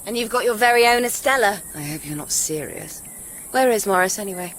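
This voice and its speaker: A woman's voice: medium pitch, animated, fairly quick, fairly bright tone, slight background noise, close to an everyday voice, low-quality recording. An actress playing a sophisticated, teasing young woman with an English accent and a sardonic manner, in a radio drama. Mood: arch, teasing. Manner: arch, sardonic. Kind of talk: naturalistic radio drama acting.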